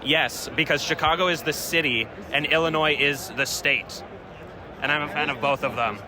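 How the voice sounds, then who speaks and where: A man from Canada attending a convention, answering with dry, deadpan humor. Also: on the high side, moderate pace. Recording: crowd noise on a convention floor.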